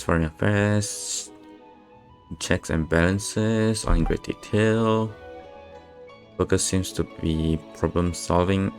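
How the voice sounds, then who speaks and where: A man streaming a game, laid-back and reading the text aloud haltingly. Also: sits low, vocal fry. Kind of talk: casual gameplay commentary. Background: game music.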